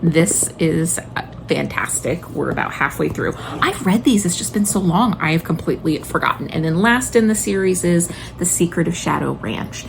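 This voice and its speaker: Chatty low-voiced woman with some vocal fry. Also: animated, moderate pace, background noise. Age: late 30s. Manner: enthusiastic, emphatic, informal address to viewers. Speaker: homeschooling mother and video host. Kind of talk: casual chatty book recommendation vlog.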